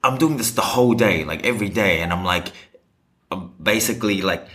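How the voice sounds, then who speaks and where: A man's voice: deep voice, fairly quick, a bit bright. A young man from Australia and New Zealand in a casual chat as a podcast guest, slangy and laid-back, with constant filler words. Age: young adult. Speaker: podcast guest, hip-hop dancer.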